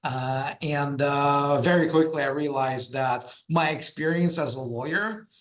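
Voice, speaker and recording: A man's voice: on the high side, a little slow, a bit bright. A middle-aged man with a Russian accent, a guest on an interview podcast, talking at length with many fillers and restarts. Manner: storytelling, frequent fillers and restarts. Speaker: guest, trademark firm founder.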